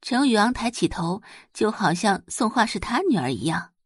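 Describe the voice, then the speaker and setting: A woman narrates a dramatic audio novel with lively, sweeping intonation. Her voice sits at a medium pitch with a slightly husky grain, recorded dry and close. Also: normal speed, dry recording.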